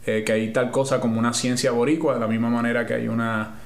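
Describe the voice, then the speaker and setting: A man's voice: mid-range voice, flat delivery, fast, creaky, roomy sound. Man with a Puerto Rican accent, thoughtful. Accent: Puerto Rican Spanish. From Puerto Rico. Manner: thoughtful, restarts and rephrases while explaining. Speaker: scientist guest. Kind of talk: interview answers.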